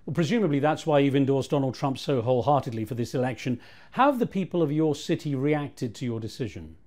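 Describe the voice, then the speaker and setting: A man interviewing a guest for a news channel, asking formal, measured questions. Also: mid-range voice, lively intonation, a little mellow.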